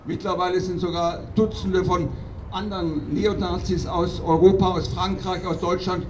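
A man delivering a political speech at a protest rally in an emphatic, accusatory, declamatory way with deliberate phrasing. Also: high-pitched, fairly even intonation. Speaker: activist doctor speaking at a protest rally. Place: outdoor rally. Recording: distant outdoor mic with clear noise.

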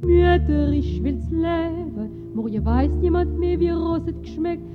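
A woman sings a folk-like protest song slowly in a high voice. Loud instruments play along, and the recording is dull and lo-fi. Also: animated. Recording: muffled lo-fi recording under loud music. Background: loud musical accompaniment.

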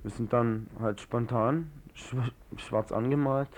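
A man's voice: mid-range voice, fairly even intonation, slow, a little mellow, slight background noise. A young man, an activist being interviewed, giving a casual, informal account. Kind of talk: casual spoken eyewitness account.

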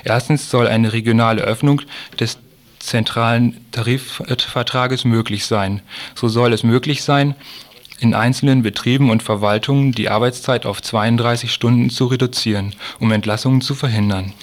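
Male radio news presenter, medium pitch, reads scripted reports and puts interview questions. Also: fairly even intonation, normal speed.

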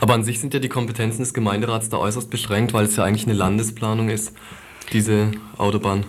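A man's voice: on the low side, level tone, quick pace. A man conducting an informal radio interview, asking probing questions in a conversational, attentive way.

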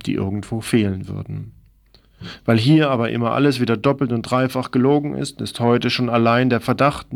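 A man's voice: mid-range voice, vocal fry, no room sound, studio quality. A man presenting a scripted political radio commentary in an explanatory way, with ironic asides.

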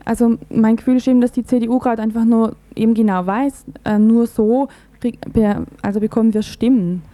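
A woman's voice: a little high, fairly even intonation, fairly quick, warm tone, no room sound. A woman radio interviewer putting questions in a conversational way.

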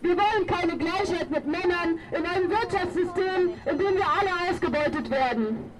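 A woman's voice: extremely high pitch, moderate pace, heavy background noise. A woman activist giving a prepared, resolute political speech at an outdoor demonstration. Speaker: demonstration speaker, feminist activist. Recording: distant microphone at outdoor rally.

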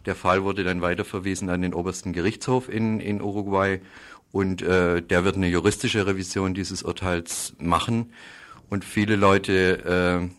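A man explaining political news in a radio interview, halting often and repeating words as he goes. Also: sits low, level tone, fairly bright tone. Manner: halting, hesitant delivery with frequent pauses and repeated words. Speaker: interviewee reporting on Uruguay.

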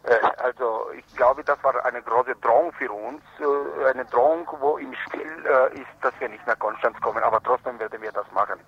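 Male activist phoning in to radio, non-native, mid-pitched and husky, gropes for words in broken grammar, muffled phone sound. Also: normal speed, hardly any pauses. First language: not German. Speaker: activist spokesman for Roma protesters, radio phone-in guest. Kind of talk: live phone interview, spontaneous report and appeal.

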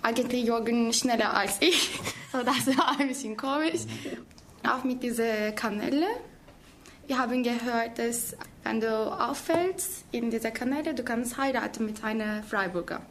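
A woman's voice: a little high, moderate pace, fairly bright tone, slight background noise. A woman in her twenties from Turkey, a language student telling stories in a casual interview with hesitant fillers and laughter. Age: 20s.

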